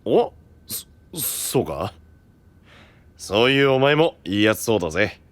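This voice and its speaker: An actor playing a gruff but kind-hearted castle lord with rough, hospitable speech in an anime audio drama. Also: male voice, fairly low pitch, very animated, a little slow, no echo. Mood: warm, bashful.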